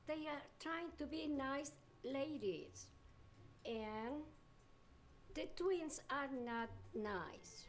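A woman's voice: high voice, expressive, drawn-out words. An older woman playing a grandmother in a scripted comedy sketch, pushing food and repeating herself with insistence.

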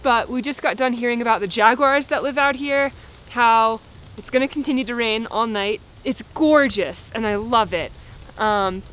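A woman's voice: on the high side, normal speed, fairly bright tone, slight background noise. A young woman chatting to a handheld camera on a camping trip, laughing easily. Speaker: vlogger. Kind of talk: casual travel vlog chat. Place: rainy jungle and inside a tent.

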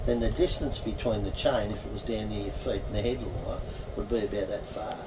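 A man's voice: lowish voice, fairly even intonation, brisk. A man in his sixties with an Australian accent, explaining a harbour and its fishing in an easygoing way to passengers on a tour boat. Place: open boat in a harbour outdoors. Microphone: action camera from a distance. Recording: distant mic, loud engine and wind noise.

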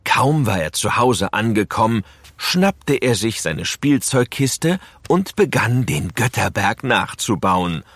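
A man's voice: fairly low pitch, big pitch swings, slow, a bit bright, no room sound. A man narrating a scripted children's story as its storyteller, close to the microphone.

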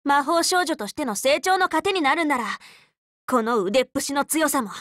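Anime game role: teenage magical girl, loyal tomboy leader, bright forceful tone, very lively intonation, dry close studio sound, frank and casual. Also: high voice, normal speed, no room sound. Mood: determined. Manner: casual, boyish, spirited, frank speech. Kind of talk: anime game character voice lines.

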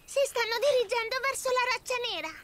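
An actor voicing an anxious, alert small young forest animal, squeaky and exclamatory, in a children's cartoon. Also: fast, roomy sound, recorded from a distance, very noisy. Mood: concerned.

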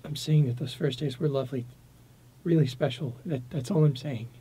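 A man acting a wistful, doubtful lover in a stage drama scene. The voice is very husky and somewhat high, with a soft, mellow tone. The delivery is understated, guarded and hesitant. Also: normal speed. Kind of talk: naturalistic drama scene reading.

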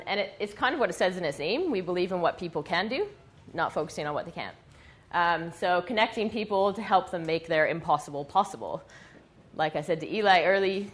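A woman from western Canada with a scratchy, husky voice, giving a chatty slide presentation with many ums, recorded from a camera across a dim room. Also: lowish voice. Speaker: guest speaker. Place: dim meeting room with projector. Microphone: far camera.

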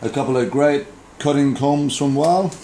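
A man's voice: mid-range voice, moderate pace, dark tone, a little hiss or hum. A man in his thirties with an Australian accent, a barber casually demoing a tool kit with enthusiastic, informal patter, recorded on a camera in a small barbershop. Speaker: barber reviewing products. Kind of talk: casual product demo. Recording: roomy shop with faint ambience.

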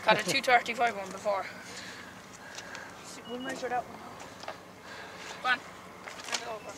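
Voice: mid-range voice, steady tone, moderate pace. A young angler, chatting informally and in a rambling way as a fishing vlogger outdoors. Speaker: angling vlogger. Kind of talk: casual fishing vlog chat. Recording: noisy outdoor recording with water and ambience.

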